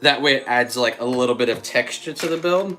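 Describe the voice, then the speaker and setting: A man's voice: mid-range voice, fairly quick, sharp tone, soft background music. A man hosting a casual livestream, thinking aloud as he builds and answering chat, still with a slight cough and a frog in his throat. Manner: thinks aloud, chatty, reads out chat messages. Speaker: livestream host.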